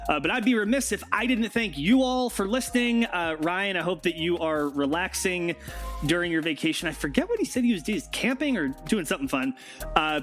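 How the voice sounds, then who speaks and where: A man's voice: a little high, fairly bright tone. An American man hosting a sports podcast in a casual, chatty style. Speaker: podcast host.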